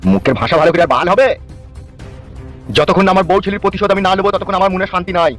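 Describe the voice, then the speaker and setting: A man's voice: sits high, very fast, natural voice. A man voicing a vengeful, foul-mouthed wronged husband in a cartoon melodrama. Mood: enraged. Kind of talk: amateur Bengali cartoon melodrama. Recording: music under the voice.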